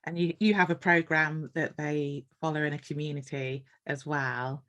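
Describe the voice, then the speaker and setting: A woman's voice: low-pitched, fairly even intonation, moderate pace, fairly bright tone. An older woman, a nurse hosting a podcast, asking questions in a thoughtful, hesitant way, thinking aloud as she goes. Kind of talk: conversational podcast interview.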